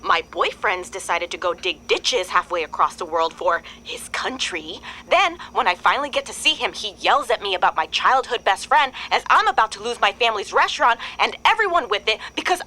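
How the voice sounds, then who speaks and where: A woman's voice: a little high, very animated, normal speed, few pauses, pushed and bright, full character voice, low-quality recording. An actress playing a stressed, defensive young woman whose voice turns strained and emotional in an argument. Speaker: lead character, restaurant owner's daughter. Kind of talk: audio drama acting.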